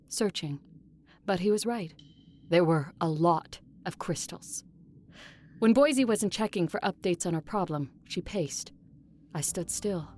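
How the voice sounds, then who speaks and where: An actress playing a proud, weary vampire ruler who narrates her story gravely and with measured care in an audio drama. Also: fairly low pitch, a little mellow. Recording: music under the voice. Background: music.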